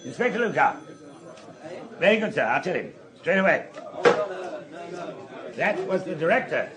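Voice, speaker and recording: An actor playing a dutiful, deferential police inspector in a radio drama, matter-of-fact, with a British accent. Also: male voice, fairly high pitch, very animated, normal speed, very noisy. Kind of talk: BBC radio drama acting.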